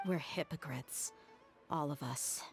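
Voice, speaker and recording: A proud desert mercenary in a video game. She is reflective and frank, speaking earnestly in a fairly low voice, with soft music underneath. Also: slow pace. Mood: bitter, reflective.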